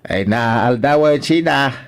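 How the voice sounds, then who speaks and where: A man's voice: medium pitch, takes it slowly, over loud music. A man playing a nosy older father-in-law in a radio drama, teasing and needling.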